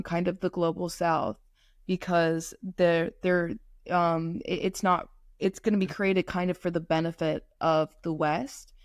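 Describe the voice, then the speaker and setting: A young woman co-hosting a podcast, talking casually and stopping often to restart her sentences. Her voice sits a little low. The recording is dry and close. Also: dry recording. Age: young adult. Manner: halting, many fillers and restarts. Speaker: podcast co-host. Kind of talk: casual conversational discussion.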